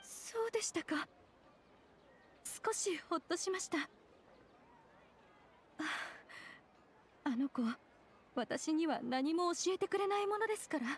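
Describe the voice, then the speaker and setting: An actress playing an anxious, gentle mother who speaks politely and softly in an anime game. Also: very high voice, animated, measured pace, long pauses, a little hiss or hum. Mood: sorrowful. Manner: soft-spoken, polite, worried. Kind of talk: Japanese anime game voice acting.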